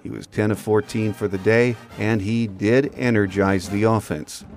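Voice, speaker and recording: A man's voice: on the low side, steady tone, normal speed, darkish tone, soft background music. An American man hosting a sports podcast, delivering scripted commentary as a monologue. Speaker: podcast host.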